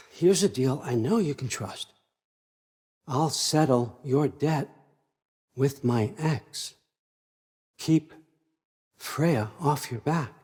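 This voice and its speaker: Slow-talking, scheming old god. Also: male voice, medium pitch, expressive, a little mellow, slightly rough, full character voice. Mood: quiet bargaining. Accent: American. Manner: wry, conversational, manipulative, profane. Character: scheming, controlling old father god, falsely folksy. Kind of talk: video game character acting.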